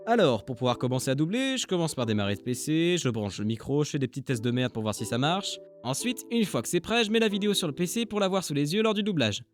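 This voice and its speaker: Casting: male amateur creator, slightly high voice, casual self-deprecating vlog narration with light music behind. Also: big pitch swings, fast, hardly any pauses. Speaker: amateur voice dubber and channel creator.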